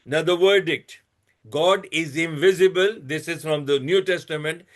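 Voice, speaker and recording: A man hosting his own channel, giving a lecture-style talk. He reads out a string of references slowly and deliberately, with many restarts and fillers, and turns blunt and scolding when he addresses critics. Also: a little high. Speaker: channel host presenting a religious comparison.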